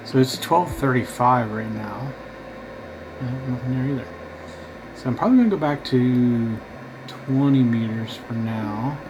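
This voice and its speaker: Guy doing ham radio, kinda muttering short remarks to himself, super loud static hiss behind him. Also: medium pitch, unhurried. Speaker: amateur radio operator and vlogger. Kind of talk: casual running commentary while operating a radio. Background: noisy radio static.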